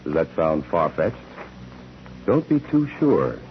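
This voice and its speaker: An actor playing a grave, earnest crime-show hero narrating formally in 1940s radio drama style. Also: male voice, rumbling low, sing-song, slow pace, a little hiss or hum, exaggerated voice. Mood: grave, ominous. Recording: dull old radio recording with music.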